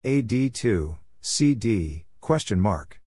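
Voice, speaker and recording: Slow, low-voiced man reading radio drills. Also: big pitch swings, mellow, a little hiss or hum. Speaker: Morse code practice instructor reading exchanges. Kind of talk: scripted radio call-sign drill, short spoken phrases.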